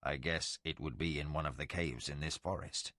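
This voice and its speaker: A man voicing a deep, grave ancient warrior lord. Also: moderate pace, pushed and bright, no echo, studio quality. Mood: solemn. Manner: grave, solemn, haunted. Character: ancient, commanding male warrior of legend.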